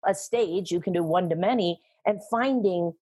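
A middle-aged woman talking about sales and speaking in an interview, animated and emphatic, laughing often. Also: fairly low pitch, normal speed, slightly rough. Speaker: guest, sales trainer and speaker. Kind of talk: conversational interview.

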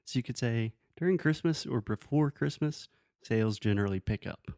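A man co-hosting a podcast, teaching English phrases in a relaxed, teasing way, with patient examples. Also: mid-range voice, moderate pace, dry recording, studio quality. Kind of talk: casual teaching chat.

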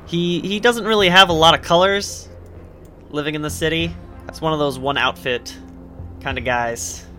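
A man's voice: a little high, normal speed, pushed and bright. A man chatting casually as a player in a tabletop role-playing game. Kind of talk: casual chat about his game character. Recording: music under the voice. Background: music.

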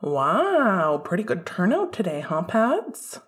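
A woman's voice: mid-range voice, huge pitch swings, slow pace. A woman reading an upbeat, chatty young man, a caring best friend with an eager, insistent manner. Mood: cheerful, chatty.

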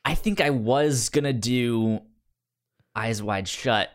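A man co-hosting a podcast in casual conversation, speaking haltingly and often repeating or tripping over words. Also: medium pitch. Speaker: podcast co-host. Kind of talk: casual chat.

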